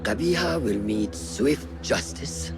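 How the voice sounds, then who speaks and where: An actor playing a grave, commanding master of assassins in a video game, speaking solemnly and deliberately. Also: male voice, medium pitch, animated, music in the background. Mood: grave resolve. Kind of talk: dramatic video game acting.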